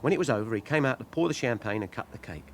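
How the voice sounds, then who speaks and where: A man plays a cagey small-time snout in a radio crime play. His middle-pitched voice swings expressively as he haggles and guards what he knows, over audible background ambience. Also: lively intonation, quick pace, lightly acted. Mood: confiding. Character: cagey small-time male police informant, angling for money. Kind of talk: British radio crime drama acting. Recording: clear background ambience noise.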